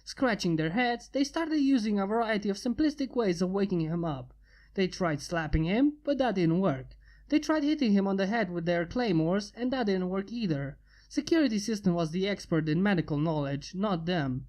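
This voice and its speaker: A woman reading fan fiction aloud in a steady narration. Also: medium pitch, normal speed. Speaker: fanfic reader. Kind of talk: amateur fanfic narration.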